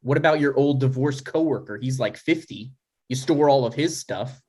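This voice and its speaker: A man's voice: medium pitch, normal speed, a little mellow, breathy edge, natural voice. A man playing a defensive, scheming thirty-six-year-old son in a live comedy table read. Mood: exasperated. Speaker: writer and actor.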